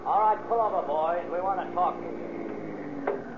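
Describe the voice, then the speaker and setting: An actor playing a brisk, eager police detective with a punchy, sardonic, slangy delivery in an old-time radio crime drama. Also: male voice, on the high side, wildly swooping pitch, gravelly, heavily acted. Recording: noisy, tinny old radio recording.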